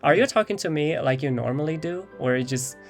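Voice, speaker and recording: A man's voice: fairly high pitch, animated, fairly quick, soft background music. A man whose first language is Chinese, talking earnestly to camera about learning English and laughing now and then between points. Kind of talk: casual scripted talk to camera.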